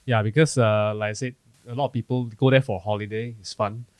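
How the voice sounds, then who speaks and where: A man's voice: fairly low pitch, steady tone, brisk, darkish tone, no room sound, clean studio sound. A Malaysian man hosting a podcast, asking curious follow-up questions in a casual chat. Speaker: podcast host.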